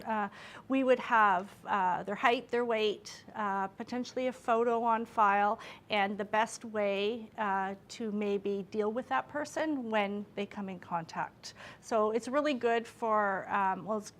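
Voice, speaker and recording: A woman police officer answering a question at a conference, speaking loudly and confidently and explaining things plainly. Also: on the high side.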